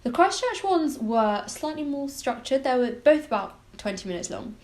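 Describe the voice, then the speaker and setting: A woman's voice: sits high, big pitch swings, moderate pace, a little mellow. A young woman of about twenty, a medical student, chatting about her interviews with frequent likes and ums. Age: around 20. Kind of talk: casual interview answers.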